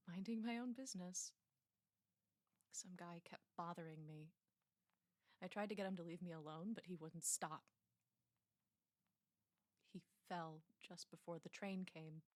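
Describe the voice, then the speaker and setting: A woman's voice: on the low side, unhurried, long pauses, no echo, lightly acted. An actress playing a guarded young woman patient in an audio drama, sardonic and hesitant. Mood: haunted, reluctant.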